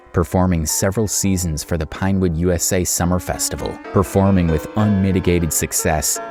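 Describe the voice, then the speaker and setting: A man reading scripted narration as the narrator of a documentary. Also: low-pitched.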